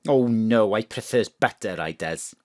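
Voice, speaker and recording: A middle-aged South Welsh mother, low in pitch but very animated. She is flirty, scatterbrained and gushing, in a comic audio drama recorded dry and close. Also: measured pace, dry recording. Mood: scolding, fussy.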